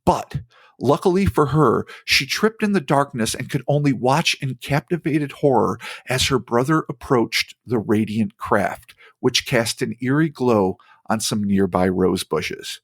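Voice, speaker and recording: A man's voice: mid-range voice, expressive, a little slow, no echo. A man with a New Jersey accent, hosting a podcast, who reads out a story and breaks off into joking asides. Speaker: podcast host. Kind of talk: reading a story aloud with casual banter.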